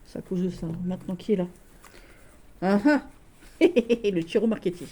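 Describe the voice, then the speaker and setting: A woman with a Lorraine accent, showing tarot decks in a casual video, gushing and halting with many restarts. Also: mid-range voice, dark tone, a little hiss or hum. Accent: Lorraine French. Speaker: tarot deck reviewer. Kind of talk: casual tarot deck showcase.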